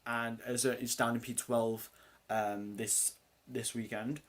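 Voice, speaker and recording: A teenage boy with a deep voice for his age, hosting a casual live race watchalong, rambling with lots of ums and uhs as he answers chat questions. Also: level tone, takes it slowly. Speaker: live stream host.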